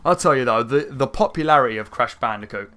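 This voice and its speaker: A young man with a croaky throat, rambling through casual gameplay commentary with lots of fillers. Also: mid-range voice, fast talker. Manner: rambling, chatty, many fillers, excited outbursts. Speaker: gaming channel host. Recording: faint game music behind. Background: game music.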